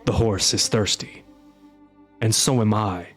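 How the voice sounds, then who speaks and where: A guarded monster hunter speaks in short, level lines, voiced by a man. He talks slowly and evenly, unshaken. Faint music sits underneath. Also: lowish voice. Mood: calm terse. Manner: calm and terse.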